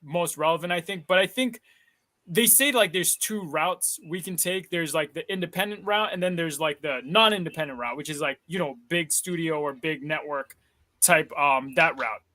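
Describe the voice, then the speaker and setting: A young man from Kenya, guesting as an actor in a casual interview. His voice sits high and sounds bright and forward, and he talks his way through ideas with plenty of hedges. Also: normal speed. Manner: chatty, thinks aloud with many hedges.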